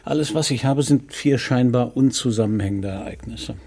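An actor in a radio play playing a calm, courteous middle-aged police commissioner who speaks in a measured, probing way. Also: male voice, mid-range voice, close to an everyday voice. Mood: reflective. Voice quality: gravelly, husky.

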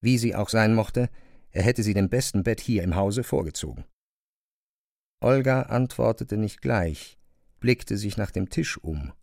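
Low, creaky, dry-sounding male narrator. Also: animated, a little slow, a little mellow, no room sound. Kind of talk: scripted literary narration.

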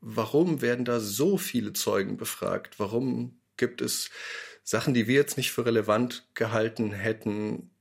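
Guy in an interview thinking out loud, creaky voice, medium pitch, lines up questions. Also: a little slow. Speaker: radio drama maker interviewed about the production. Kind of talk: reflective interview talk.

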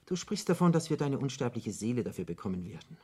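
An actor playing an eloquent devil in a radio drama, arguing in emphatic, elaborate rhetoric as a persuasive tempter. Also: male voice, medium pitch, big pitch swings, quick pace, mellow, husky. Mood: silky, insistent.

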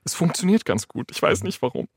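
A man's voice: fairly high pitch, sing-song, brisk, vocal fry, husky, no room sound, studio quality. A man in casual explanatory talk, thinking aloud as he works. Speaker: AI expert guest. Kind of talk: casual explanatory talk while typing prompts.

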